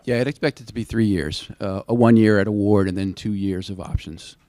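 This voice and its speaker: A man with a fairly low voice answers questions as a contracting officer at an industry day. He hedges carefully and often restarts his sentences. The sound is dry and close. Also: fairly even intonation, brisk, dark tone, no room sound.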